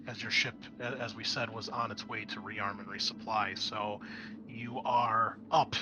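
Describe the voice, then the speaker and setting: A man narrating a tabletop game as game master in a casual, improvised way, often halting to think aloud. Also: mid-range voice, pushed and bright, rough recording. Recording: clear background noise.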